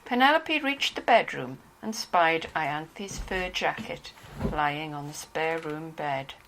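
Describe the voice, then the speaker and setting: Woman narrator reading a novel, slightly low voice, some background noise. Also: lively intonation, takes it slowly, a bit bright, rough recording.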